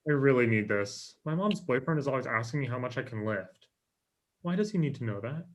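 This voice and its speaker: A man's voice: mid-range voice, lively intonation, a little fast, natural voice. A young man acting an anxious, eager-to-please twenty-four-year-old in a table read, earnest and pleading, on a video call. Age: young adult. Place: room at home, indoors.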